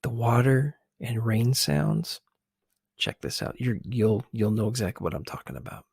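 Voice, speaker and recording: A man hosting an ASMR video, giving casual, gently hesitant commentary on film scenes. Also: mid-range voice, moderate pace, vocal fry, slightly rough, dry recording, studio quality.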